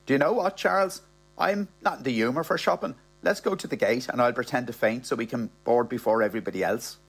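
A man's voice: mid-range voice, fast, breathy edge. A man voicing a brazen, scheming woman with a matter-of-fact manner in a comic audiobook story. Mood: bored, bossy. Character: brazen, scheming working-class woman, sweet-talking shoplifter.

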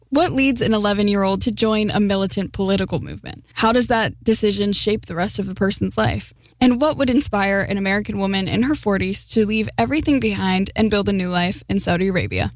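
A woman reporter voices a public radio feature in a smooth, measured read. Her pitch sits at the middle and the studio sound is dry and close. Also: no echo. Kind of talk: scripted news feature narration.

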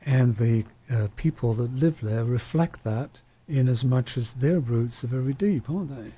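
A man's voice: mid-range voice, moderate pace, dark tone, husky. A man speaking on a discussion panel, thoughtful and anecdotal, with frequent restarts. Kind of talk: reflective panel talk.

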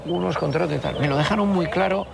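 An older man talks through his memories in a halting, reflective way. His voice is gravelly, and he keeps stopping and restarting his sentences. The sound of a city street comes through clearly behind him. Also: high-pitched, noisy background. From Basque Country. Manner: halting, with many restarts and fillers. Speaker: interviewee. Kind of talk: reflective storytelling in an interview.

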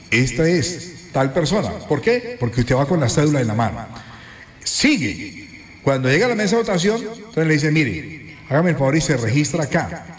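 A man hosting a morning radio show, chatting and giving listeners advice in a playful, animated way. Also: fairly high pitch, echoey room. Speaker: radio host.

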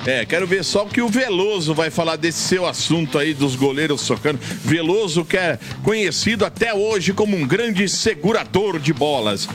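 Male Brazilian radio co-presenter, high pitch, informal banter while reading messages, faint music underneath. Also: animated, moderate pace, hardly any pauses, fairly bright tone. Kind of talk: casual sports radio chat.

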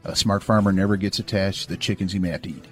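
A man's voice: low-pitched, steady tone, fast, soft background music, close to an everyday voice. A man voicing a hard-nosed, scheming cowboy bank robber who is bossy and mocking, in a Western story. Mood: warning. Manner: bossy, needling.

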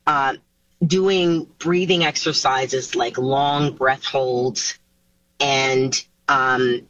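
A woman, a singer taking part in an interview over a video call, answering casually with animated pitch swoops and many restarts. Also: extremely slow, dry recording. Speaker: singer, guest. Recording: dull, dry video call audio.

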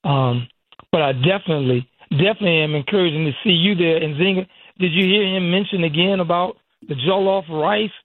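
Higher-pitched man on a tinny phone line. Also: brisk, dry recording. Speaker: radio guest, community event organizer. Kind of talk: casual promotional interview chat. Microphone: phone call.

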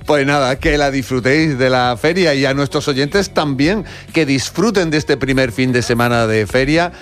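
A man's voice: medium pitch, moderate pace, soft background music. A man with a Spanish accent hosting a casual radio chat, encouraging his guests and interjecting as they talk. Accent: Spanish from Spain. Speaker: radio show host. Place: radio studio.

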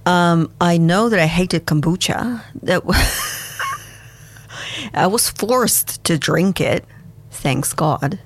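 Guest: woman, deep voice, slow and thoughtful, reminiscing with many pauses. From Kazakhstan and Russia. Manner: reflective, unhurried, with many fillers and restarts. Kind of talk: conversational interview answers.

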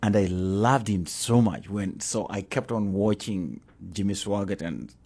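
A man's voice: lowish voice, measured pace, darkish tone, husky. A middle-aged man with a Ugandan accent, a pastor talking as a guest in an interview, earnest and prone to repeating himself.

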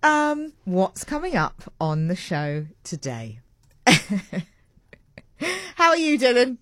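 A woman hosting a live morning radio show in a studio, chatty and rambling, her voice sitting lower than usual after a late night. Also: sing-song, slow pace, no echo. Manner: rambling, warm and self-mocking, with laughs. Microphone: large studio mic with a fluffy cover.

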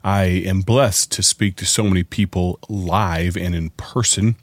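A man hosting a radio gospel program with a slightly raspy, hoarse voice, talking in an earnest and conversational way. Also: deep voice, steady tone, unhurried, no echo. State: hoarse from preaching at camp. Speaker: radio evangelist host. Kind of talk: warm radio sermon introduction.

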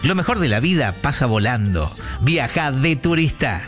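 A man's voice: mid-range voice, big pitch swings, moderate pace, over music. A man with an Argentine accent reading scripted commercials as an announcer. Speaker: advertising announcer.

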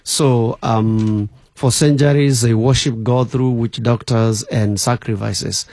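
A man's voice: mid-range voice, steady tone, takes it slowly, darkish tone, no room sound. A man from Kenya, a bishop guest on a radio show, telling his life story with many fillers and restarts.

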